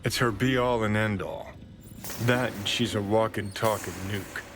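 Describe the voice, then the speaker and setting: A cynical, foul-mouthed ex-soldier rebel from a cinematic video game, played by a man. He speaks bluntly and sardonically in a fairly low voice. A faint noise sits behind him. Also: fairly even intonation, measured pace, close to an everyday voice. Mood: brooding, cynical.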